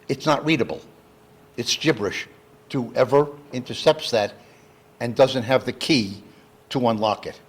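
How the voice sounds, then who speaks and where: A man giving an educational public lecture, explaining terms in a deliberate, step-by-step way. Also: medium pitch, a little hiss or hum. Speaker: lecturer, engineer. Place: talk given live to an audience.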